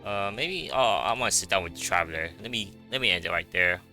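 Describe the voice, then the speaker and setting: A man's voice: sits low, lively intonation, brisk, pushed and bright, soft background music. A man giving casual gameplay commentary as a streamer, informal, muttering short reactions. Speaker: game streamer.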